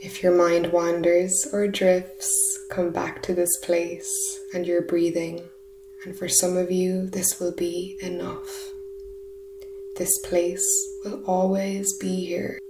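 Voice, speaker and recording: Slow, hushed woman guiding a meditation. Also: on the low side. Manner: soft, soothing, breathy delivery in short unhurried phrases. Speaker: meditation guide. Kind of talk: guided meditation narration. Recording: roomy echo, steady humming background noise. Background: humming sound bath tones.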